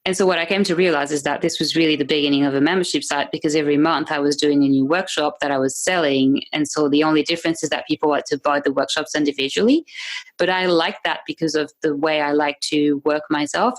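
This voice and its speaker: Low-voiced woman with a French accent. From France. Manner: chatty, laughs often, many fillers and restarts. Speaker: guest, membership business owner. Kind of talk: podcast interview.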